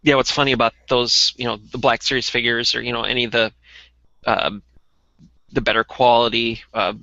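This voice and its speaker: Guy co-hosting a hobby podcast, bright forward voice, casual, dry but kinda muffled recording. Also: medium pitch, little pitch movement, brisk, dry recording. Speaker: co-host of a toy collecting podcast. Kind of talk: casual chat. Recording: dull, muffled lo-fi audio.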